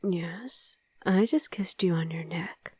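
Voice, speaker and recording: A woman's voice: fairly low pitch, warm tone, slightly rough, heavily acted. A woman playing a loving, wistful vampire wife in an intimate ASMR roleplay, speaking tenderly and often in a whisper, close to the microphone. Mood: affectionate. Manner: slow, tender, coaxing murmur. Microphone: close, dry microphone.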